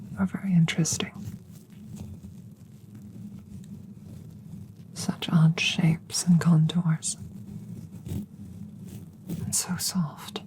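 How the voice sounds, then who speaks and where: Woman acting hushed, low-pitched whispering alien scientist. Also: creaky, slight background noise. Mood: fascinated, gentle. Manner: measured, halting, formal, whispering. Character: curious, calm, gentle female alien scientist. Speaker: audio roleplay voice performer. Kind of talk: whispered ASMR roleplay. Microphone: close microphone.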